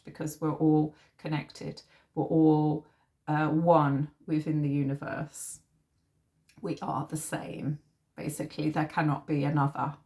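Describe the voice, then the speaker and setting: A woman with a slightly husky, nasal voice from a sore throat, giving an informal, halting tarot reading as host. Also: low voice, darkish tone.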